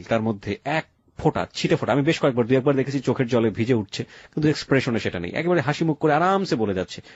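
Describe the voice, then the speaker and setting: A man's voice: mid-range voice, darkish tone, husky, no echo, dull audio. A man with a Bangladeshi Bengali accent hosting a radio interview, asking questions and commenting reflectively, mixing in English words. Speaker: radio show host interviewer.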